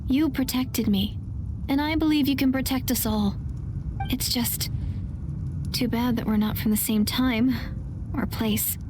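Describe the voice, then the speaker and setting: An actress playing an earnest, hopeful young woman in a video game, speaking gently and deliberately. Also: sits high, fairly even intonation, background noise. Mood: tender, resolute. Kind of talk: video game character acting.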